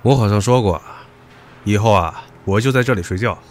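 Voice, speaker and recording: An actor playing a brash, hot-tempered young subordinate who taunts crudely in an audio drama. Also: male voice, on the low side, a little fast, soft background music, close to an everyday voice. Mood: menacing, pushy. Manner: mocking, crude, provocative. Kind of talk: modern audio drama acting.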